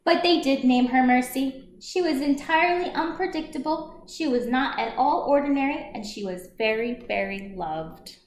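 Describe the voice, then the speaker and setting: A woman's voice: sits high, normal speed, few pauses. A woman library storyteller reading a picture book aloud expressively to young listeners. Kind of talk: children's storybook read-aloud. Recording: roomy echo, some background noise.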